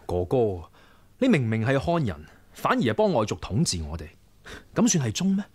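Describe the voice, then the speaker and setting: A man's voice: medium pitch, huge pitch swings, normal speed, darkish tone, husky. A man acting a young, righteous martial rebel leader in a Cantonese radio drama, earnest and persuasive, with formal declamatory speech. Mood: reproachful.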